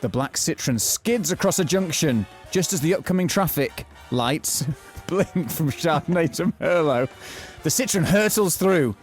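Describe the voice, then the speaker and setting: A man narrating a mock-thriller audio drama from a script, telling it in a florid, deadpan way. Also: on the high side, unhurried, soft background music.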